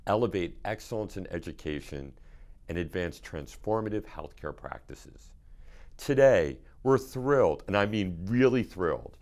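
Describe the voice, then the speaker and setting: A man hosts a podcast interview. He speaks as the dean of a school, putting questions to his guests. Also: low-pitched. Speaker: host, medical school dean.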